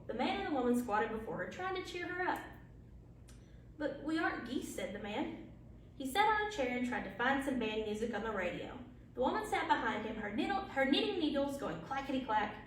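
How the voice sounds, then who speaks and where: Lady storyteller reading a bedtime tale, really animated, far from the mic w lots of echo. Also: sits high. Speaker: library storyteller. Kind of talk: bedtime story read aloud. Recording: very echoey, distant, faint background noise.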